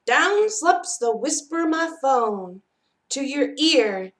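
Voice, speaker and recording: A woman reads a children's storybook aloud as a storyteller. Her voice sits a little high and her pace is very unhurried. She is expressive, shaping each phrase for young listeners. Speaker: library storyteller reading aloud. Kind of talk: children's picture book read-aloud.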